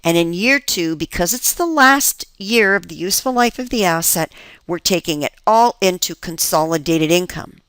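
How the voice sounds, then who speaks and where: A woman's voice: on the low side, lively intonation, moderate pace, fairly bright tone, clear, resonant tone, dry recording. A woman instructor lecturing step by step through worked accounting examples, explanatory and emphatic, often checking understanding with rhetorical questions.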